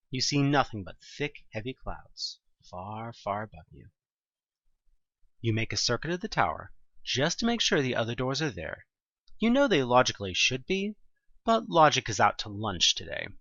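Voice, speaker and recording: A man reading scripted second-person narration for an audio drama, expressive and a little wry. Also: medium pitch, no echo, highs cut off.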